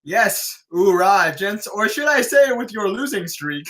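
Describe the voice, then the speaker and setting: An actor in a script table read playing a brash, showy race announcer who teases the crowd. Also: male voice, sits high, sing-song, unhurried, fairly bright tone, full character voice. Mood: showy, teasing. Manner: showy, teasing announcer delivery.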